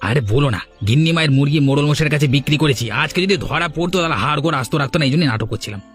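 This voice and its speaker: A man acting a cheeky village thief in a cartoon folk tale. He rattles along quickly at a medium pitch, sly and wheedling, over light background music. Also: fairly bright tone, natural voice. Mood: scheming.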